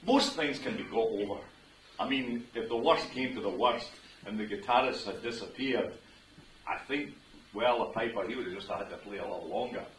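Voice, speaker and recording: Jovial Scottish minister in an echoey hall. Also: male voice, on the low side, moderate pace. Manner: jovial, joking, chatty. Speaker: wedding minister. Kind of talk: officiating a wedding ceremony. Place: wedding venue indoors. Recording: roomy, distant mic with venue noise.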